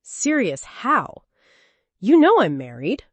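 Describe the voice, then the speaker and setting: A woman's voice: fairly high pitch, wildly swooping pitch, takes it slowly, slightly rough, no echo, pitched above the performer's own voice, full character voice. Woman reading as a cheating husband in a story, placating, excuse-making, dry sound. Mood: defensive. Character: evasive married man, a cheating husband.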